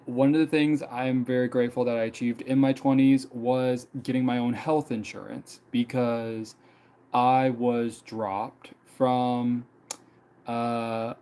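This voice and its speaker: Mellow-voiced young man rambling casually. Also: mid-range voice. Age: 20s. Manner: rambling, many fillers and repeats. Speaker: live streamer. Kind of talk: casual personal storytelling.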